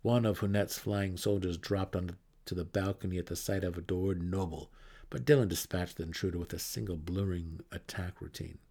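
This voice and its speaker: A man's voice: low-pitched, steady tone, a little fast, mellow. A man reading a fantasy novel aloud as a dramatic audiobook narrator.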